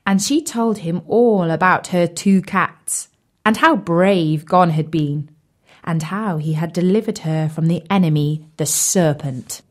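A woman narrating a children's fairy tale as a storyteller, with an expressive, measured delivery. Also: lowish voice. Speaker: storyteller, audiobook reader.

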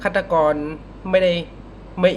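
A man giving casual, unscripted reaction commentary as a video host, halting and repeating words as he thinks. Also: slight background noise, rough recording.

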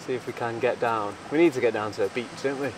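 A young man talks casually on a coastal walk, filming himself at arm's length. His voice is mid-pitched and easygoing. Wind and breaking waves carry clearly behind him. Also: fast. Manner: laid-back, brief quips. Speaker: travel vlogger. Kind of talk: casual outdoor vlog chat. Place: outdoors on coastal clifftop and shore. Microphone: camera held at arm's length. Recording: wind and surf noise.

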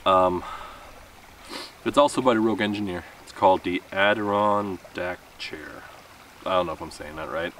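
Male outdoor vlogger, thirties, deep voice, casual rambling delivery, recorded a few metres from the mic. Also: normal speed, a bit bright. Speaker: camping vlogger. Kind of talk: casual vlog talk to camera. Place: outdoor wooded campsite. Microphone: camera a few metres away. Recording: distant mic with outdoor background noise.

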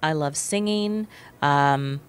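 A woman's voice: sits low, slow, clear, resonant tone. A woman in her forties, an actress and playwright, chatting as a guest in a small studio with a handheld mic. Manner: animated, gestures while talking. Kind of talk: casual interview chat. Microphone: handheld microphone.

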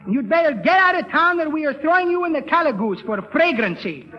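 Cheerful immigrant, very high animated voice, tangled grammar, vintage radio comedy. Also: male voice, fairly quick, heavy background noise. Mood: animated, explaining. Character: cheerful Greek immigrant man who mangles English. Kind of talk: 1930s radio comedy acting. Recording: dull vintage 1930s radio recording.

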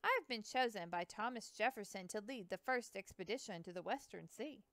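An eager young explorer, polite and earnest, his words tumbling out in a rush, as a woman reads him aloud. The voice is smooth and clear, with a lively, rising and falling pitch, set close and dry like a storybook reading. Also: normal speed, dry recording. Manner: earnest, courteous, speaks in a rush.

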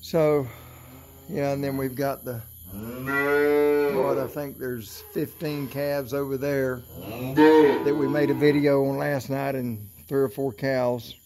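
Slow-talking man filming calves in a barn. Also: medium pitch, fairly even intonation, warm tone. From Florida. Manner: slow, unhurried country talk with fillers, counting animals aloud. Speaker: cattle seller filming his barn. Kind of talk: casual walkthrough vlog. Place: open cattle barn at night, outdoors. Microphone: handheld phone camera. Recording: noisy outdoor barn phone recording.

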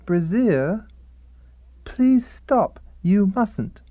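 Woman as a slow, mellow, halting story reader. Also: medium pitch, big pitch swings, no echo. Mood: halting reading. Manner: halting reading, sounding words out. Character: slow, literal-minded reader stumbling through romance fiction. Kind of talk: radio comedy sketch.

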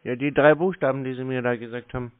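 A man on a phone call, playing a slow customer, obtuse, grumbling and argumentative. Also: medium pitch, steady tone. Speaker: scambaiter posing as a victim on a phone call.

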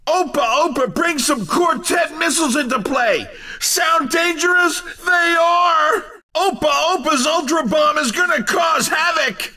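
An over-excited male video game race commentator with a very high, sharp voice. He delivers each line like a hammy showman, exclamatory and playful, yet measured in pace. The voice sounds a little distant from the mic. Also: full character voice. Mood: excited, urgent. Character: over-excited male cartoon racing announcer, playful and punning.

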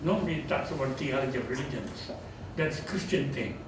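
An older man in his sixties with a Tamil accent, a retiring theology professor giving a reflective, gently self-deprecating farewell talk in a seminar room. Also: mid-range voice, lively intonation, a little fast. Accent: Tamil-accented English. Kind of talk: informal farewell lecture with Q&A. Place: seminar room, indoors, medium-sized. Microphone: far camera. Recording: echoey room from far off, background noise.

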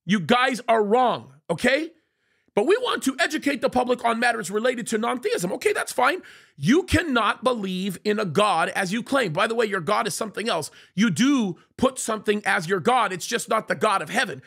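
A man's voice: high-pitched, lively intonation, normal speed, creaky, fairly bright tone. A man in his forties delivering indignant, emphatic commentary into a studio mic, reading quotes aloud and rebutting them. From United States. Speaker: pastor commentator. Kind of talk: fiery commentary. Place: small dark studio, leather chair. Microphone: studio mic on boom in front.

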